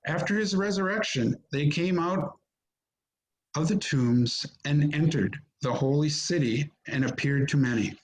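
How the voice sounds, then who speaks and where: A man narrating a scripture reading in a measured, solemn manner. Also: on the high side, poor audio. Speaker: narrator in a church Passion reading.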